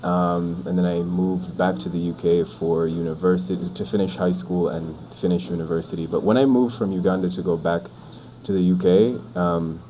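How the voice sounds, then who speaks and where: Very deep-voiced young man, rambling. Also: moderate pace, hardly any pauses. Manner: relaxed, rambling, says "like" and "bro" a lot. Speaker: podcast guest. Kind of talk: casual chat. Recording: noisy background.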